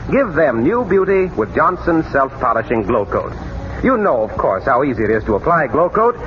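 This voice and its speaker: A man's voice: a little high, lo-fi sound, a little hiss or hum. A male radio announcer reading scripted ads and narration in a polished, upbeat 1930s broadcast style.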